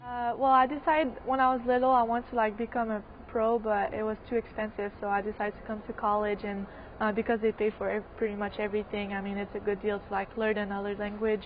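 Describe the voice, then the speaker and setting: Flat-toned young woman from Quebec. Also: mid-range voice, soft background music. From Quebec, Canada. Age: young adult. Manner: halting, packed with "like". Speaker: college tennis player. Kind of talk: casual interview answers. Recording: dull audio with clear hiss.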